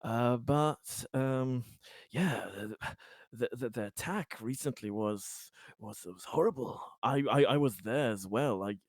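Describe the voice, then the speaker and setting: A man acting out a chatty, eager colonist in a tabletop roleplay. He speaks slowly and haltingly, stammering through polite, slightly boastful small talk, very close and dry. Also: mid-range voice, no room sound, close to an everyday voice.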